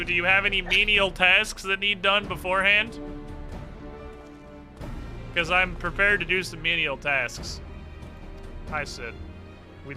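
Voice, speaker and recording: A man doing casual gaming commentary in a sarcastic, mock-dramatic and profane way. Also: on the high side. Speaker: game streamer. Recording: music playing behind. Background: game music.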